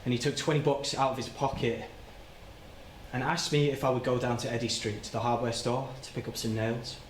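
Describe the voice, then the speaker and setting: An actor playing an anguished, guilt-ridden working-class man in a halting, plain-spoken theatrical monologue. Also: medium pitch, little pitch movement, close to an everyday voice. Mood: uneasy recollection. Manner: halting, plain-spoken, repeats and stumbles over words. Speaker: stage actor. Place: indoor soundstage. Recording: roomy, noisy soundstage livestream.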